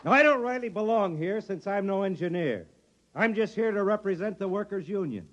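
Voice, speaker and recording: A skeptical union mechanic talks bluntly in a folksy, ungrammatical way. His pitch is strikingly high for a man. The delivery is 1950s radio-play acting. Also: animated, a little fast, fairly bright tone, highs cut off. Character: plain-spoken, skeptical male workers' union mechanic.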